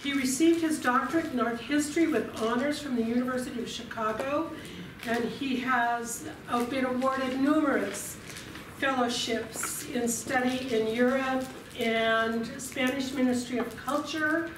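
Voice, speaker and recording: A woman's voice: high-pitched, fairly even intonation, measured pace, background noise. A middle-aged woman hosting an event, reading a speaker's introduction from a sheet and stumbling on names, recorded from far away in a large room. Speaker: event host. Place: large room with projection screen, indoors. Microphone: far camera. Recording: very echoey room, recorded from afar.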